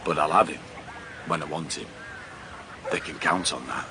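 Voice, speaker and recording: Cold possessive villain landowner, really deep voice, menacing, radio play with noisy background. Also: male voice. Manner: menacing, deliberate. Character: cold, vengeful, possessive master of a moorland farm. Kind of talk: radio drama acting.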